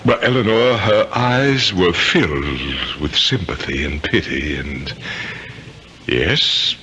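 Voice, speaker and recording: An actor playing a theatrical, blustering old English ghost with grandiose, archaic declaiming in a radio drama. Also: male voice, low voice, animated, takes it slowly, sharp tone, heavily acted. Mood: wistful, tender. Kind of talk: 1970s radio drama acting. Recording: noisy old radio broadcast recording.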